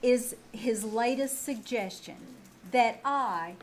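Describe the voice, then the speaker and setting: A woman playing a sardonic schoolteacher with deadpan wisecracks in old-time radio comedy style. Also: on the high side, slow. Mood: wry, put-upon. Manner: deadpan, sarcastic delivery. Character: wry, sardonic female schoolteacher with dry wisecracks. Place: small town theater stage. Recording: live stage recording with background noise.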